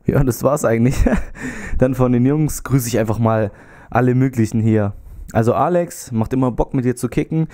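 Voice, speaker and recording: A young man with a slight cold talks casually into a handheld mic held close to his mouth. His voice is dark and mellow, at an ordinary middle pitch. He rambles along with plenty of fillers in a small bedroom. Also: moderate pace. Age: young adult. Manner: rambling, many fillers, gestures while talking. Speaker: YouTuber. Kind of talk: casual vlog chat. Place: small bedroom with TV and gaming setup.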